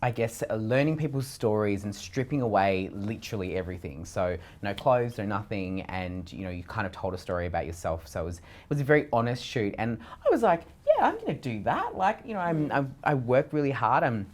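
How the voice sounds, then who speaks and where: A man's voice: a little fast, hardly any pauses. Aussie guy, red carpet reporter type, chatty, does the voices when he quotes people. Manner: chatty and animated, acts out quotes with big pitch jumps. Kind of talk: casual chat.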